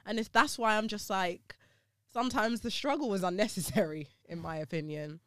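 Animated woman chatting, smooth clear voice. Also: moderate pace, no room sound, clean studio sound. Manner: animated, laughing asides, rises in pitch when joking. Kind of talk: casual chat.

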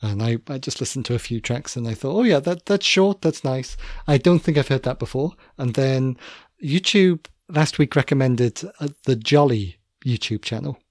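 A man's voice: medium pitch, animated, normal speed, hardly any pauses, a little mellow, no room sound, clean studio sound. A man co-hosting a casual podcast with his wife, chatty and prone to repeating himself as he corrects his own slips. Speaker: podcast co-host. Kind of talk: casual chat.

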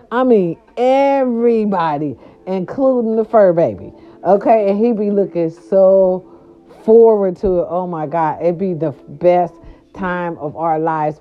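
A grandmother from California talking casually to her viewers, animated and chatty, with salty slang and lots of "right, right" fillers. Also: fairly low pitch, measured pace, faint music behind. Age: older. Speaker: vlogger. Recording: dull lo-fi sound.

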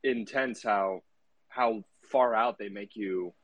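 Man, co-host, easygoing, a little froggy from a cold, lowish voice, dry sound. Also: slow pace, dry recording. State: has a cold, a bit froggy.

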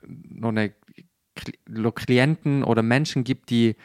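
A man talks in a casual podcast style. He has a mid-pitched voice and feels his way through sentences in colloquial phrasing. The sound is dry, with very little room. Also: steady tone, slow pace, clean tone, no echo. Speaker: podcast host.

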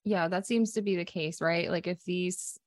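Supportive woman host, low voice. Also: steady tone, moderate pace, studio quality. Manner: supportive, echoes the guest's words. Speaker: podcast host. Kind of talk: casual interview chat.

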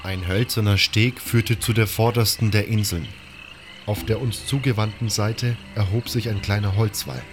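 A man's voice: on the low side, steady tone, moderate pace, noisy background. A man reading a scripted first-person fantasy story as its narrator. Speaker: storyteller reading a game-based audio story.

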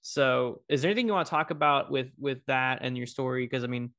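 A man's voice: mid-range voice, a little fast. A man hosting a podcast interview, asking long, rambling questions with frequent restarts. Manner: rambling, warm-hearted, restarts often. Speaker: podcast host. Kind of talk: casual interview conversation.

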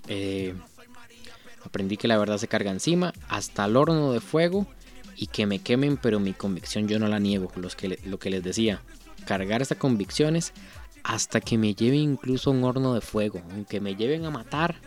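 Earnest Costa Rican man, lowish voice. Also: animated, moderate pace, faint music behind. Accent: Costa Rican Spanish. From Costa Rica. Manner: earnest, thinks aloud with many restarts. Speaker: reaction video host. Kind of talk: casual commentary reading out lyrics.

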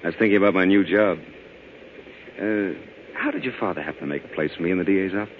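A very low-voiced young attorney, played by a man, distracted and politely agreeable in vintage radio-drama style. The recording is old and muffled, with faint noise behind. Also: quick pace, natural voice. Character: preoccupied young male attorney, polite new husband. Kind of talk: 1940s radio drama acting.

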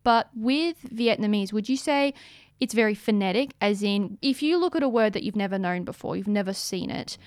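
A woman's voice: mid-range voice, normal speed, dry recording, studio quality. A young woman hosting a casual interview, asking questions in an upbeat, curious way. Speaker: interview host.

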